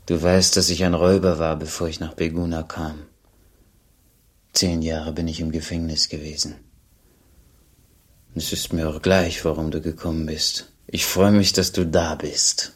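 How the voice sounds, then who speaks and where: Slow, husky old ex-robber. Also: male voice, rumbling low, level tone, heavily acted. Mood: plain, wary. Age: older. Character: tired old former robber, plain-spoken, kindly. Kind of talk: radio play dialogue.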